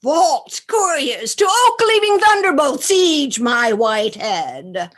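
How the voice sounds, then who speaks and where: Woman playing blunt shakespeare character, slow and declamatory, highish voice, radio drama. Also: very animated, fairly bright tone, slight background noise, heavily acted. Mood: raging, grand. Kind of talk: live radio play acting.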